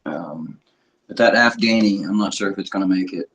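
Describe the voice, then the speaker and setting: A middle-aged man with a sore throat chatting casually to viewers, clearing his throat often and swearing offhand. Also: low voice, normal speed, a bit bright, a little hiss or hum. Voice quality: husky. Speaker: cannabis vlogger. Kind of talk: casual rambling chat to viewers.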